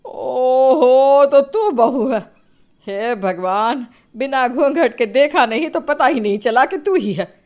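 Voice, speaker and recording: A woman's voice: high-pitched, animated, slow, darkish tone, exaggerated voice. A woman playing an exasperated, dramatic middle-aged mother-in-law who nags and scolds in an acted moral story. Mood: surprised scolding. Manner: nagging, theatrical.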